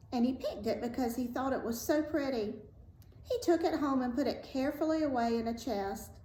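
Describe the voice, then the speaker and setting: A woman reading a fairy tale aloud as a storyteller, in a measured, dramatic and expressive way. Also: fairly high pitch. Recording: roomy echo, faint background noise.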